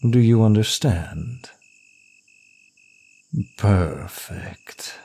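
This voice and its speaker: A very low-voiced, suave demon of lust, acted by a man for an ASMR roleplay. He teases smugly and draws out his words at a slow, deliberate pace, every line seductive. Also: gravelly, dark tone, slight background noise, exaggerated voice. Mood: commanding, lustful.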